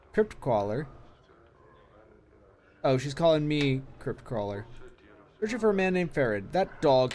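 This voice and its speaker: A man streaming a game, reading its dialogue aloud with casual asides, his voice a little hoarse from long talking. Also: on the high side, darkish tone. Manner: reads long text passages aloud with brief asides.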